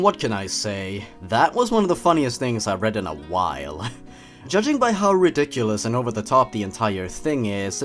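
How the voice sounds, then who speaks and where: Sarcastic guy doing commentary on scary stories, super animated pitch, hammy, faint music behind. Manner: sarcastic, theatrical mock-serious delivery. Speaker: YouTube creepypasta reader and commentator. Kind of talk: scripted commentary and dramatic story reading.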